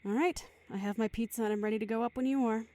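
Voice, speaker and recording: A woman's voice: a little high, fairly even intonation, racing, dark tone, dry recording, close to an everyday voice. A woman playing a tired, caring mother in an audio drama. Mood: gentle, reassuring. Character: caring, tired mother hosting a sick young guest.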